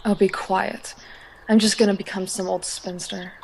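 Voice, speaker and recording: A woman's voice: mid-range voice, little pitch movement, normal speed, vocal fry, a little hiss or hum, close to an everyday voice, poor audio. A woman acting an insecure, romantically unlucky teenage schoolgirl in an amateur audio drama, self-pitying and hesitant. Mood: sulky, defensive.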